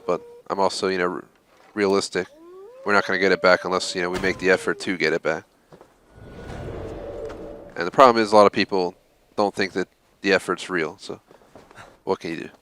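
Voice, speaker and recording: A man with a very low voice talks loosely to his viewers while he plays, filling the gaps with "uh" and "you know." A faint hiss sits under the recording. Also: a bit bright. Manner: rambling, frequent fillers. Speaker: game streamer host. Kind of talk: casual live-stream chat while gaming.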